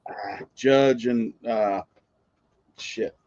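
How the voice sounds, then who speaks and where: A man's voice: on the low side, drawn-out words, a little mellow, no room sound, dull audio. An American man chatting casually about sports as a guest, informal and folksy with some mild swearing. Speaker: guest panelist.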